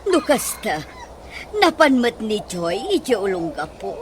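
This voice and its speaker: A woman's voice: a little high, very animated, a little slow. An actress playing a middle-aged mother who chides and soothes her daughter in a radio drama. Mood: chiding. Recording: noisy, with ambient sound.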